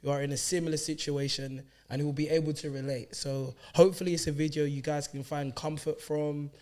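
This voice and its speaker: A man's voice: fairly high pitch, level tone, a little fast, a little mellow. A young man hosting a podcast, asking questions and encouraging his co-host in an easygoing way. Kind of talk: casual chat.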